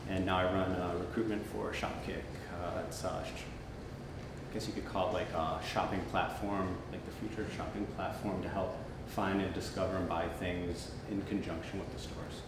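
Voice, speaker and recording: Deep-voiced man, distant and echoey. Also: little pitch movement, moderate pace, very noisy. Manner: casual, hesitant. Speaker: panelist. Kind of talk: panel introduction. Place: event room before an audience.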